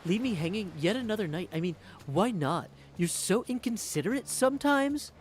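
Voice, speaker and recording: A man's voice: high-pitched, lively intonation, moderate pace, a little hiss or hum, pitched above the performer's own voice. A man voicing a taunting, put-upon female villain from a mythological video game. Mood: mock reproach.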